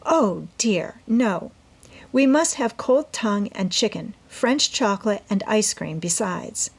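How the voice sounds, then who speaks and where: A woman's voice: mid-range voice, animated, slow, husky, voice pushed up, heavily acted. A woman voicing a genteel, self-assured teenage girl with airy, persuasive manners in a storybook reading. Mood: insistent, proper. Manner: airy, important, sweetly persuasive.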